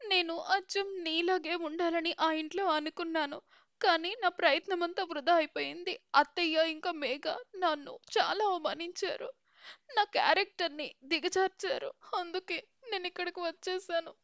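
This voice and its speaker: Woman doing a young bride, earnest and high. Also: moderate pace, fairly bright tone, no room sound, highs cut off. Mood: tearful. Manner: earnest, pleading.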